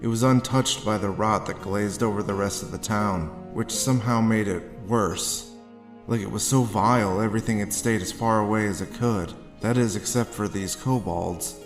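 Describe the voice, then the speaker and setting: A man playing a haunted, sardonic drifter in a dark horror audio drama, moving between first-person narration and dialogue. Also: fairly low pitch, steady tone, normal speed, natural voice. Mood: brooding. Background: background music.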